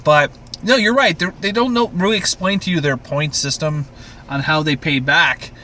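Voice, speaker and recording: A man's voice: fairly high pitch, moderate pace, sharp tone, a little hiss or hum. A man from Canada co-hosting a podcast, chatting casually about TV in an exasperated, sweary, ranting way. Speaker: podcast co-host. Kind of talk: casual TV recap chat.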